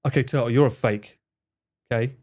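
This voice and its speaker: A man's voice: medium pitch, steady tone, a little fast, darkish tone. A man streaming live, chatting casually with viewers in a joking, theatrical way and swearing freely. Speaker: streamer and cameraman.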